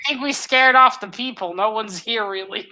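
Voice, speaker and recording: Bright-voiced woman chatting casually. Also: a little high, normal speed, poor audio. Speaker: co-host on a book discussion stream. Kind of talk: casual joking chat.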